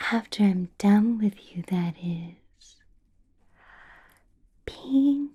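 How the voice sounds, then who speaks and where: A woman playing a centuries-old vampire boss in a whispered ASMR roleplay, teasing and menacing, with deliberate pauses and a falsely pleasant manner. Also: fairly high pitch, a little mellow, full character voice. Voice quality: breathy whisper.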